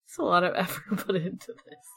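A woman's voice: fairly low pitch, expressive, fairly quick, vocal fry, a bit bright, breathy edge. A woman co-hosting a casual, joking discussion in an informal, amused and giggly way. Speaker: podcast co-host. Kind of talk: casual chat, joking commentary.

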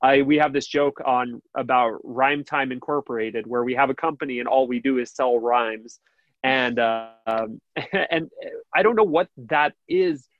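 A radio host with a high, nasal voice talks in a loose, rambling way, full of fillers and restarts. His voice sounds dry and close, with a dull, lo-fi quality. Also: no room sound. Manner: rambling, many fillers and restarts, laughs easily. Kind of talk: casual interview chat.

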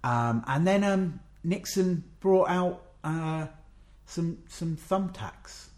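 A man's voice: a little high, expressive, slow, a little mellow. A man co-hosting a casual chat, who recaps the night's matches and keeps backing his partner with short yeahs. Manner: affirming, lots of "yeah", recapping events. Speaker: podcast co-host.

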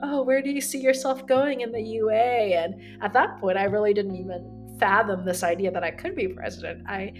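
A woman's voice: mid-range voice, moderate pace, few pauses, soft background music. Earnest young woman, reflective. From United States. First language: Korean. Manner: reflective, earnest, with restarts and quoted inner thoughts. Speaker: former student body president. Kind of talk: recorded interview recollection.

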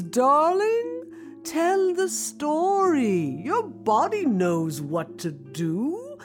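A woman's voice: mid-range voice, darkish tone. Woman playing a theatrical, swooping older female singing teacher. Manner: theatrical, commanding, calls people darling. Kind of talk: audio drama character acting.

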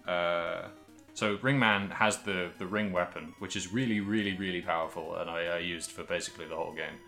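A man co-hosting a podcast, casually talking through video games in a halting, thinking-aloud way. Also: low-pitched, steady tone, vocal fry, pushed and bright, faint music behind. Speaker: podcast host. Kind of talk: casual video game discussion.

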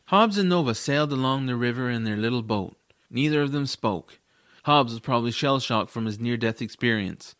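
A man's voice: medium pitch, expressive, moderate pace, a bit bright, no echo, studio quality. A man reading scripted first-person narration for a family fantasy audiobook. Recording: music behind the voice. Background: music.

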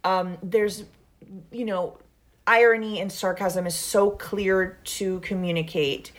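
A woman from Venezuela with a thick Venezuelan accent talks casually about comedy as a podcast guest. She is animated and laughs easily, often restarting her sentences mid-thought. Her voice sits at an everyday middle pitch. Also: slow. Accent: Venezuelan Spanish.

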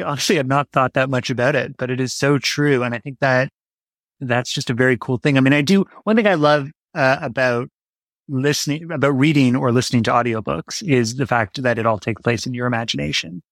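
A man's voice: medium pitch, a bit bright, no room sound, studio quality. A man talking as a guest in a casual interview, reflective and enthusiastic about his work. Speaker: guest, audio drama writer.

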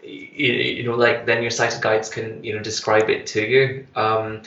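A man's voice: on the low side, monotone, unhurried, a bit bright, reverberant, highs cut off. A Scottish man from Glasgow, a tour manager talking enthusiastically in a casual interview, with many fillers and restarts. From Glasgow, Scotland.

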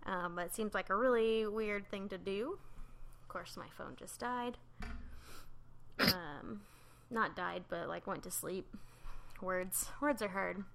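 A woman's voice: mid-range voice, slow pace, creaky, noisy background. A woman co-hosting a podcast, telling a true crime story in a loose, chatty way full of "um" and "like". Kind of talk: casual storytelling chat.

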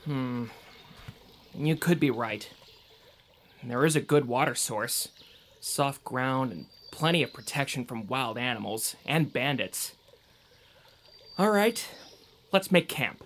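An actor playing an earnest, excitable young male traveler in an audio drama, expressive and sometimes hesitant. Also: mid-range voice, slow, long pauses, slight background noise. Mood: agreeable. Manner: expressive, wide-eyed. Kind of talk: scripted audio drama acting.

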